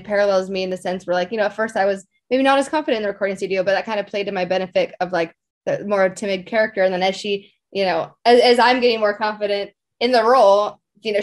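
Female interview guest, mid-pitched, chatty and effusive, informal phrasing full of fillers. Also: steady tone, fast talker. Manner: effusive, peppers speech with like and you know. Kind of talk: casual interview chat.

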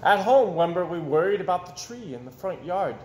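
Animated man reading a picture book aloud. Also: high-pitched. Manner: animated, dramatic pauses and emphasis. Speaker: storyteller reading aloud to children. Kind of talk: expressive children's picture-book read-aloud. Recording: roomy echo, some background noise.

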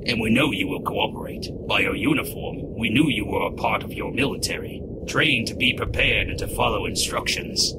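A man's voice: lowish voice, moderate pace, few pauses, lightly acted. A man voicing a stern, clinical alien scientist in a comic dub, with formal, stilted phrasing. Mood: polite, clinical. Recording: very heavy background noise.

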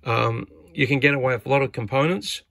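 A man's voice: mid-range voice, steady tone, a little fast, vocal fry, a bit bright, no room sound, clean studio sound. A man giving casual, hands-on repair commentary in a plainspoken, hesitant way, as if thinking aloud while he works. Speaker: radio repair hobbyist presenter. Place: indoors at an electronics workbench.

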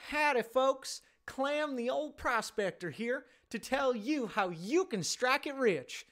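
A man voicing an excitable old gold prospector in a folksy, hollering advert pitch. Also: takes it slowly, heavily acted. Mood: jolly, excited.

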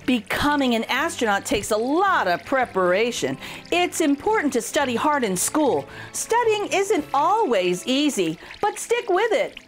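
A woman with a high voice reads a children's picture book aloud. Her intonation swings in a lively way, encouraging and emphatic as she speaks straight to young listeners. Faint music plays behind her. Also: measured pace. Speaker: children's storytime reader.

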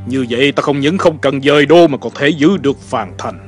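A man's voice: medium pitch, fairly quick, faint music behind, natural voice. A man playing a composed, decisive court statesman in a dubbed historical cartoon, formal and reasoned. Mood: persuasive.

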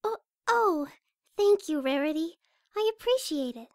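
A woman voicing a shy, gentle young cartoon pegasus pony with a hesitant, stammering delivery. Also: extremely high pitch, expressive, a little slow, dry recording, studio quality. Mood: nervous. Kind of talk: amateur cartoon fan audio drama.

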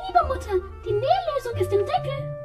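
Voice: very high-pitched, animated, normal speed, over loud music. A performer playing a small, earnest, factory-made boy who speaks politely and precisely in a children's radio play.

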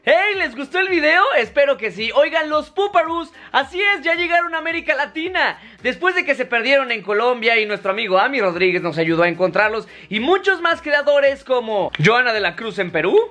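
A young man hosting and coaching in a casual, energetic, exclamatory creator style. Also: very high-pitched, fairly quick. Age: young adult. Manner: animated, exclamatory. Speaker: host and dubbing workshop teacher. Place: small indoor rooms.

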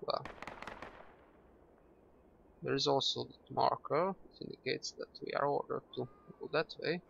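A man with a gravelly, mid-pitched voice walks through a shooter game at an unhurried pace. He explains things patiently, starting sentences with "well" and pausing on "uh". Loud gunfire from the game plays behind him. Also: muffled recording, slight background noise. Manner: relaxed, explanatory, with frequent "well" and "uh" restarts. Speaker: gameplay tutorial narrator. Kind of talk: casual instructional commentary over gameplay. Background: game gunfire and shooting sounds.

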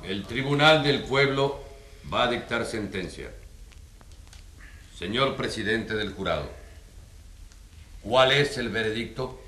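A man's voice: mid-range voice, expressive, slow pace, pauses often, bright, forceful tone. An actor playing a solemn, authoritative male judge in a radio drama, speaking formally and declamatorily. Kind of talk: radio drama courtroom speech.

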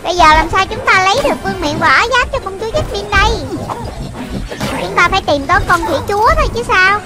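Very high childlike voice, excited game commentary. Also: fast talker. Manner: childlike, exclamatory, repeats short phrases. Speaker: game video narrator for children. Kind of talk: excited running gameplay commentary. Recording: loud game music over the voice. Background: loud video game music.

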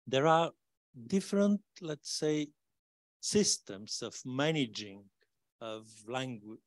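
An older man speaks slowly into a table microphone at a conference discussion. He lands heavily on key words, and his voice rises in emphatic bursts. The sound is dry, with no room echo. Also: mid-range voice. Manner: emphatic, rising loud stresses on key words. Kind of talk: spontaneous academic discussion.

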